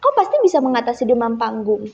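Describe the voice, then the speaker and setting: A young female storyteller voicing a kind, wise, patient village woman with a gentle, reassuring manner. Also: on the high side, very animated, fast, vocal fry, voice pushed down. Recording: muffled echoey hall recording.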